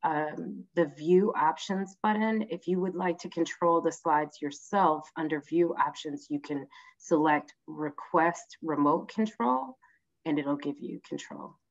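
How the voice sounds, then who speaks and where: Female virtual-event moderator, lowish pitch, courteous and halting, muffled call audio. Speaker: host, moderator. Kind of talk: event hosting, introductions. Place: online video conference. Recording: dull video-call audio.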